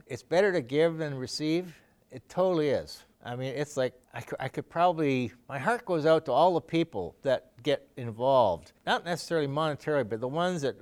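A middle-aged man from Canada giving a casual interview, rambling with many fillers and restarts. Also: medium pitch. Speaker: donor interviewee. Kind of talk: conversational interview.

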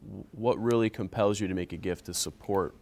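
A man with a very low, deep voice asks questions as an interviewer. His questions are long and measured, building slowly toward the point. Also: steady tone, creaky, darkish tone.

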